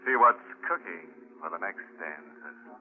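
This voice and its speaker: Slow, ghoulishly wry radio host. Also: male voice, deep voice, expressive, narrow, tinny audio. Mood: wry amusement. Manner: sardonic, slangy, drawling. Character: wry, folksy, ghoulishly punning horror show host. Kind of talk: 1940s radio horror hosting. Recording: thin old radio broadcast.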